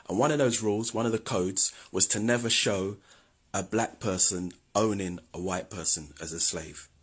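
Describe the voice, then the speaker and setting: Husky, very deep-voiced man. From London, England. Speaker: video host. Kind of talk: scripted history commentary.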